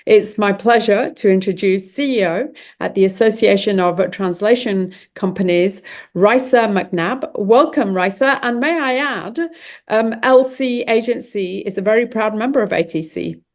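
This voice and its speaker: A woman hosting a conference reads a prepared introduction for the next speaker. Her voice is mid-pitched and her delivery plain and scripted. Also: unhurried. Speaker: conference host.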